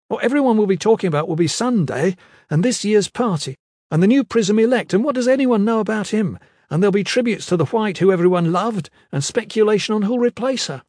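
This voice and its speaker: Male narrator as indignant young fantasy hero, high-pitched, agitated, insistent, dry studio sound. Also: husky, no room sound, full character voice. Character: furious, indignant young man in a fantasy tale.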